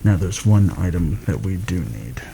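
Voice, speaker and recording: A man playing a shrewd, secretive mercenary planner in a soft-spoken ASMR fantasy roleplay, confiding and deliberate in a slightly formal way. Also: sing-song, noisy background, full character voice. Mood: explaining, serious. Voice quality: low, gravelly, soft-spoken. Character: shrewd, secretive male mercenary plotting a royal assassination.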